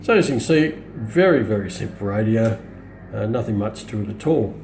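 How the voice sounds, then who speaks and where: A middle-aged Australian man with a mellow, relaxed voice on the low side. He talks casually and hesitantly, pausing often as he demonstrates a product. The sound is roomy and echoey, recorded indoors on a camera mic. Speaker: hobbyist radio reviewer. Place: indoors on a hard countertop, small room. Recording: roomy echo, faint background noise.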